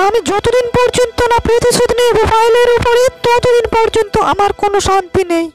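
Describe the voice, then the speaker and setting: A woman as a very shrill haughty cartoon rich girl. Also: steady tone, fairly quick, over music, rough recording. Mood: angry, vengeful. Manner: haughty, emphatic. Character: spoiled, vengeful young landlord's daughter, scheming and haughty. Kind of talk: cartoon moral-story acting.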